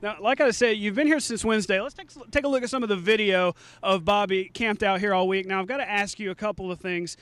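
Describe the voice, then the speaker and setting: A man's voice: high-pitched, quick pace, few pauses, fairly bright tone, smooth, dry recording. A man anchoring a live sportscast in a conversational, jokey style.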